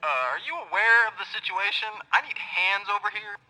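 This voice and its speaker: Guy as sarcastic bossy scientist on radio, audio drama, higher pitched and super sharp. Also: lively intonation, fairly quick, exaggerated voice, low-quality recording. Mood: impatient. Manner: snappy, bossy, condescending. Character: brusque, sarcastic male scientist giving orders remotely. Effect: radio comms filter.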